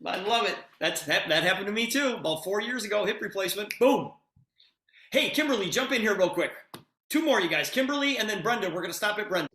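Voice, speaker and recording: Male online-meeting host, enthusiastic and informal, bright high-pitched delivery, repetitive emphasis, echoing room. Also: expressive, quick pace. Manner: repeats phrases for emphasis. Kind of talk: enthusiastic, informal moderating of a group call. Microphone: video call. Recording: roomy, echoing video-call sound.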